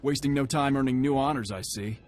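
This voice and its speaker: A man voicing a formal, brisk warrior envoy in a video game. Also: mid-range voice, darkish tone, lightly acted. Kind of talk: dramatic video game dialogue. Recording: faint game music behind the voice.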